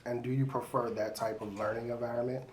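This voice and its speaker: A man's voice: mid-range voice, little pitch movement, fast talker, a little hiss or hum, low-quality recording. A man hosting a casual sports interview, chatty and loose, with lots of fillers. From Pittsburgh. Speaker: sports show host.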